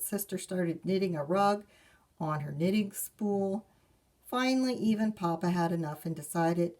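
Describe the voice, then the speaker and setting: Female children's librarian, storytime read-aloud, slightly low creaky voice, expressive, speaks straight to the kids. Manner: expressive reading, talks directly to young listeners.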